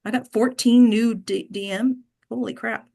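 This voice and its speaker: American woman, slightly high voice, casual acting coach, direct and emphatic, question-and-answer advice. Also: fairly even intonation, a little slow, vocal fry, warm tone. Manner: direct, emphatic, checks in with "right?" and "okay". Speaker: host and acting coach leading an online discussion.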